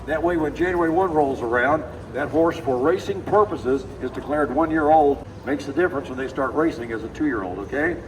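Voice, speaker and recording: A man, hoarse from a cold, giving a folksy guided walking tour and repeating his key points. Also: fairly high pitch, fairly quick, few pauses. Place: outdoors at a racetrack with a tour group. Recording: distant voice, outdoor noise.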